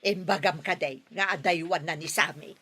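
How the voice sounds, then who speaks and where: An actress playing a scheming middle-aged village mother in a radio drama, with a husky voice and a mocking laugh. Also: low-pitched, normal speed, fairly bright tone.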